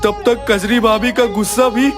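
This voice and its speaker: A man's voice: sits high, steady tone, normal speed, over music, natural voice. A man voicing an eager village loafer, a sidekick in a cartoon. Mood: eager advising. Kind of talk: cartoon voice acting.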